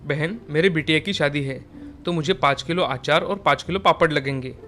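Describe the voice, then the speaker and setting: A man's voice: fairly high pitch, fairly quick, pushed and bright. A man playing a mild, polite village father placing an order in a storybook tale. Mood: courteous. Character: mild, courteous village customer, father of a bride. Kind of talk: storybook character dialogue.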